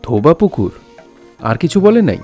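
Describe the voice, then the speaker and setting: A man's voice: on the high side, very animated, moderate pace, dark tone, faint music behind. A man acting an earnest, devoted young doctor and son in an audio drama, with a hesitant, emotional manner. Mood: hesitant, anxious.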